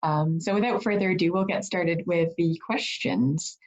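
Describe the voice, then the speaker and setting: A woman's voice: lowish voice, normal speed, a little mellow. A woman, a gallery director, hosting a virtual reception over a video call and reading an introduction with frequent fillers.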